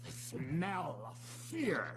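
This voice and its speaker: An actor playing a menacing, taunting figure of death in a film clip, speaking slowly. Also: medium pitch, wildly swooping pitch, creaky, slightly rough, full character voice. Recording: game clip under loud music.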